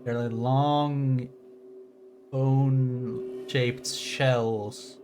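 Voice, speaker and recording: A man's voice: medium pitch, fairly even intonation, drawn-out words, over music. A man streaming a game, chatting casually and rambling, easily surprised by what he sees. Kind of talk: casual live commentary.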